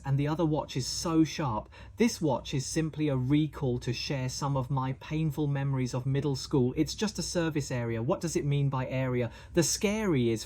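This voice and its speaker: Mellow-voiced man explaining diamond prices. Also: medium pitch. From Hong Kong. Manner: chatty, asks the viewer questions. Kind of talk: explaining price charts to camera.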